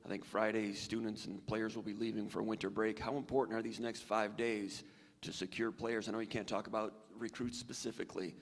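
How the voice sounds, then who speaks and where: A man's voice: on the low side, level tone, a little fast, creaky. A man, a sports reporter, asking a long, winding multi-part question at a press conference. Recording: long echoey hall reverb.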